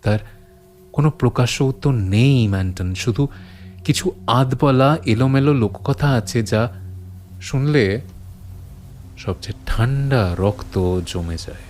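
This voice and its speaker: A man playing a grave first-person narrator of a horror audio story, solemn and halting, drawing out phrases for suspense. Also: mid-range voice, a little mellow, a little hiss or hum, lightly acted. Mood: grave, hushed dread. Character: grave male narrator recounting old forbidden horrors to his friend. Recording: long reverb on the voice.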